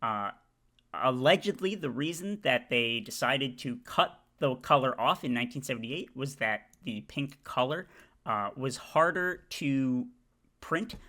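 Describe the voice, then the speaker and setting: A man with a bright, forward voice at a middling pitch talks casually. He stops and starts often, filling the gaps with drawn-out "uh"s as he works through a ranking. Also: lively intonation. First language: English. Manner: halting, many "uh" fillers and restarts. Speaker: video creator ranking flags. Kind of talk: casual commentary.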